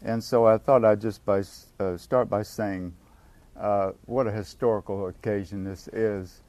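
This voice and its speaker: An older man giving a casual workshop talk to a live group on a handheld mic, halting and often restarting his sentences. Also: fairly low pitch, fairly even intonation, a little mellow. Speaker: workshop speaker at a recovery meeting. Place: meeting room with an audience. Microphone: handheld microphone.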